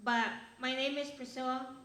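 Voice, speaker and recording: A young woman from Ecuador giving an earnest, halting acceptance speech at an awards event, with fillers and restarts. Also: high-pitched, little pitch movement, sharp tone. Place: event hall. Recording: echoey hall.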